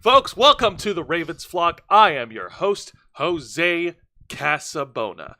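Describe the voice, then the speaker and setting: Lively, bright-voiced man hosting a show. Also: a little high, takes it slowly. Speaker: host of a live show.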